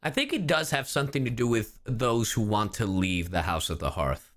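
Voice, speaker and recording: A game streamer giving casual livestream reactions, playful, exclamatory and quick to swear. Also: mid-range voice, very animated.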